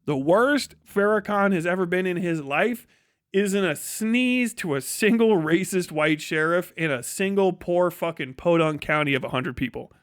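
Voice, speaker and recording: A man's voice: high voice, expressive, normal speed, dry recording, studio quality. A man live streaming a casual, opinionated monologue in a profane, slangy, rambling and emphatic way.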